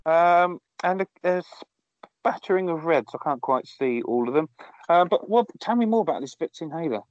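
A man co-hosting a podcast from a small garden shed, chatting in a wry, rambling, deadpan way. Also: fairly high pitch, normal speed, no room sound, lo-fi sound. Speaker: podcast co-host. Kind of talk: casual chat.

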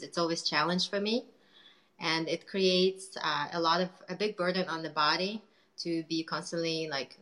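A woman guest talks about her business in a loose, chatty way, full of "uh" and restarts. Her voice sits a little low and sounds bright and forceful. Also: low-quality recording. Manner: chatty, many fillers and restarts. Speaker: guest entrepreneur. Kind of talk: casual interview chat.

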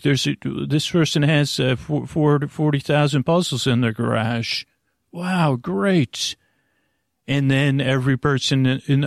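A man hosting a bedtime podcast, rambling in an improvised, halting way with stammered, repeated word starts and a gravelly, creaky voice. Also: medium pitch, dry recording, clean studio sound. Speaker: podcast host.

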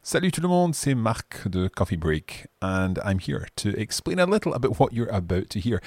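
Man, deep voice, husky, language teacher, measured explanations, dry close mic. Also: big pitch swings, a little mellow, dry recording. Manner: measured, explanatory, with some restarts. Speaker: French teacher and podcast host. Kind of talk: explaining a course format, switching between English and French.

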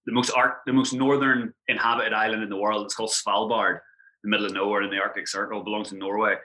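A man's voice: fairly low pitch, poor audio. A man with an Irish accent, probably in his thirties, chatting animatedly as a podcast guest about his travels. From Ireland. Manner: animated, chatty, quick asides. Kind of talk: casual podcast conversation.

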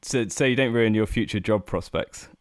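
A man's voice: fairly low pitch, moderate pace, clear, resonant tone, no room sound, studio quality. A man hosting a podcast, easy-going, laughing often and asking short questions in a casual interview. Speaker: podcast host.

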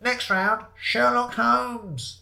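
Guy doing a pub quiz host in a story, announcer-ish, measured, a bit higher pitched. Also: big pitch swings, bright, forceful tone, exaggerated voice. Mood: formal, unbothered. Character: pub quizmaster reading out trivia questions.

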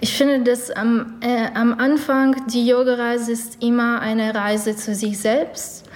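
Female guest from Ukraine, non-native German, slow and hesitant, searches for words. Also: a little high, flat delivery. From Ukraine, raised in Canada. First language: not German. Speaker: yoga teacher, guest. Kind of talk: interview.

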